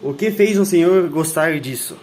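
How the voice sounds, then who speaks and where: A man working as a student interviewer, reading out questions in a brisk, matter-of-fact way. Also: fairly high pitch, darkish tone, slight background noise.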